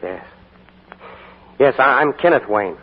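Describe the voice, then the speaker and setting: A charming young American soldier. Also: male voice, mid-range voice, animated, takes it slowly, slightly rough, big-room sound. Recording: tinny 1940s radio broadcast, faint hiss.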